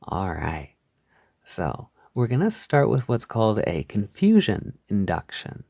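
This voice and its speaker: A man leading a soft-spoken guided hypnosis, soothing and reassuring, gently repeating his phrases on a close mic. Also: on the low side, huge pitch swings, measured pace, gravelly, no echo. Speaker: hypnosis audio creator guiding the listener.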